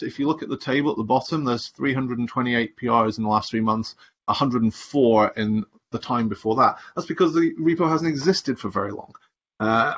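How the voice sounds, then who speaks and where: A middle-aged man giving a screen-share presentation on a video call, explaining things in an unhurried way with plenty of fillers. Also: mid-range voice, fairly bright tone, husky. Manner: explanatory, thinking aloud with many fillers. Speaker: community presenter. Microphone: video call webcam. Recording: dull lo-fi video call audio.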